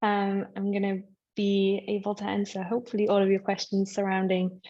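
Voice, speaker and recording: A woman introducing herself as an admissions and financial aid counselor in a quick, friendly way. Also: mid-range voice, completely flat delivery, warm tone, dull audio.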